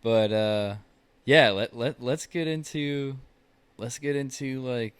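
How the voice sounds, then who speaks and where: A man's voice: mid-range voice, takes it slowly, clear, resonant tone, no echo. A man in his twenties co-hosting a podcast, chatting casually, rambling and swearing. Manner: rambling, swears, restarts a lot. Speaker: podcast co-host. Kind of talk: casual chat.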